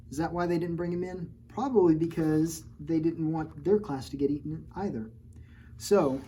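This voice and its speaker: A woman reads a children's storybook aloud in a mellow, warm tone, pausing again and again to joke with the kids and point things out in the pictures. Her storytime delivery is playful and teasing, with faint noise in the background. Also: deep voice. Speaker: children's librarian. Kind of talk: storytime read-aloud with chatty asides.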